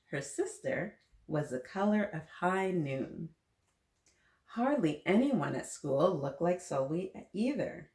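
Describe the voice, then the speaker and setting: A woman with a fairly low voice hosts a children's story time at an unhurried pace. She reads aloud in a gentle, encouraging way, adding asides and questions for young listeners. The room gives her voice a noticeable echo.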